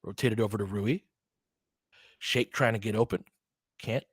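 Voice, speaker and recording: A man's voice: lowish voice, fairly even intonation, normal speed, breathy edge, dry recording, clean studio sound. A man doing casual live basketball play-by-play in short clipped phrases, with informal slang and a fan's partisan reactions. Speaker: play-by-play commentator.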